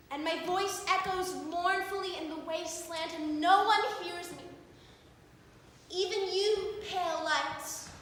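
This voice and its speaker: A woman on stage playing a mournful universal soul in a declamatory, drawn-out theatrical monologue. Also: very high-pitched, fairly bright tone, exaggerated voice. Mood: desolate. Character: young actress solemnly playing the lone universal soul. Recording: echoey reverberant theatre, faint hiss.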